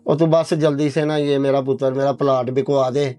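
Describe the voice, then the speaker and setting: A man's voice: medium pitch, level tone, normal speed, mellow, no room sound, close to an everyday voice. A man playing a poor, trusting old village father, pleading and affectionate in a dramatised moral story. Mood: stubborn, coaxing. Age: older. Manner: pleading, repeatedly addresses listener affectionately.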